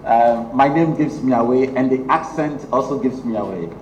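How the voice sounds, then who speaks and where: A middle-aged man from Ghana with a Ghanaian accent, giving a measured public lecture full of ums. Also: medium pitch, a little mellow, highs cut off. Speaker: guest lecturer. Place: lecture hall. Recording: echoey hall, faint noise.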